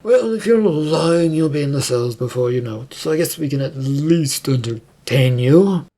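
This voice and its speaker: A performer voicing a large, stern, gruff orc captain loyal to his prince, in a fantasy story. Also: medium pitch, expressive, mellow. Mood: stern, defiant. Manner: slow, stern, confrontational.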